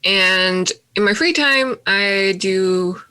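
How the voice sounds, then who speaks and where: A woman's voice: mid-range voice, very slow, sharp tone, clear, resonant tone. A woman in her early twenties speaking casually as a member of a podcast team. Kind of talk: casual self-introduction.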